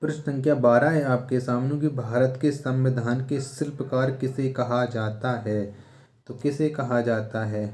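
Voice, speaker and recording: Man teaching, mellow voice. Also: mid-range voice. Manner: repetitive, rhetorical questioning, instructional. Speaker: exam coaching teacher. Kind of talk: quiz lesson, reading questions and answers aloud.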